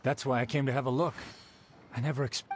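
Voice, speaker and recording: A man's voice: medium pitch, fairly even intonation, fast talker, a little hiss or hum, natural voice. A man voicing a dutiful, earnest city guard with a formal, official manner in a video game. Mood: formal, proud.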